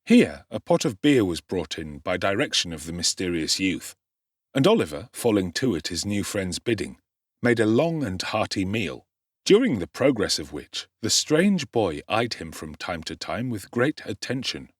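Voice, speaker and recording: A man with an English accent narrates classic fiction in a lowish voice. His delivery is measured and articulate yet richly varied in pitch. The recording is close and dry. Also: sing-song, breathy edge, dry recording. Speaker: audiobook narrator, actor. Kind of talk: scripted literary narration.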